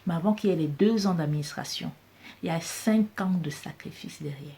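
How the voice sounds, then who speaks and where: A woman's voice: fairly low pitch, a little fast, dark tone. A woman with a Cameroonian French accent, giving an emphatic motivational business talk that repeats key phrases for stress. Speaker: business coach vlogger.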